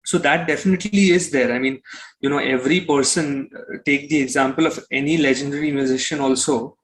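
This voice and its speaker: A man's voice: mid-range voice, steady tone, brisk, rough recording. A young man with an Indian accent answering casually as an interview guest, explaining things reflectively. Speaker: interview guest, singer-songwriter.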